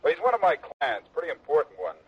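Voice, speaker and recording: A man's voice: on the high side, very animated, quick pace, husky, full character voice. An actor playing an eager, chummy young insurance agent in his twenties who turns evasive, in a 1960s radio drama. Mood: friendly. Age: 20s. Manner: chummy, then evasive and hesitant. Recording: dull old radio broadcast.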